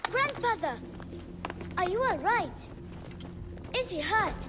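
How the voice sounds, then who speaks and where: A devoted young boy of ancient Egypt for a game cutscene. The child sounds earnest and very high in pitch, with prominent music underneath. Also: very animated, takes it slowly, heavily acted. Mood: alarmed. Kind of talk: dramatic video game acting.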